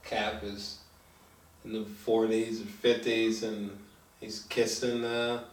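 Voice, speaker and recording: A man's voice: fairly low pitch, little pitch movement, slow, distant mic. A man in casual, unscripted chat as a film review co-host, searching for words and often trailing off. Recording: echoey room, away from mic.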